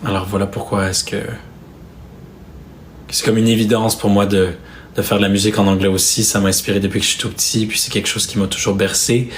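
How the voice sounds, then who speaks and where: A young Québécois man talking on a live stream in a low, flat voice. He speaks softly and hesitantly, and a faint room hum sits behind him. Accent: Québécois French. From Quebec, Canada. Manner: soft-spoken, hesitant, with many fillers and restarts. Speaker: singer streaming live to fans. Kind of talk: casual live-stream chat. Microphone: phone or webcam on a live stream.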